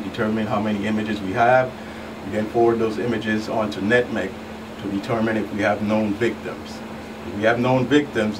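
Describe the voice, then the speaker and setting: Male guest investigator, lowish pitch, methodical explainer, recorded at a distance with background noise. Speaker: investigating agent, guest expert. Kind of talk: explanatory radio interview answers. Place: small radio studio. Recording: noisy, distant mic with room hum.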